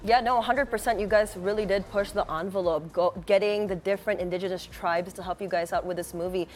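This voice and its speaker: A woman's voice: mid-range voice, steady tone, fast talker. A woman interviewer asking questions in a casual, enthusiastic way.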